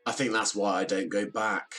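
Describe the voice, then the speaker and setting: A man's voice: fairly low pitch, little pitch movement, normal speed, fairly bright tone, faint music behind. A man playing a reserved, downbeat young British man, weary and bitter, in an audio drama. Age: young adult.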